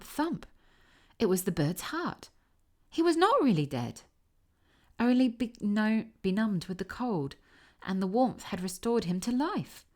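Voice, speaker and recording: A woman reading a classic fairy tale aloud as a storybook narrator, expressive and careful. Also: medium pitch.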